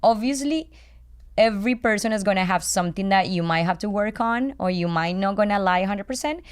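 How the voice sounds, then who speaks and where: A woman's voice: mid-range voice, lively intonation, fairly quick, smooth. A woman from Colombia with a Colombian accent, a native Spanish speaker, talking candidly and casually and repeating phrases for emphasis.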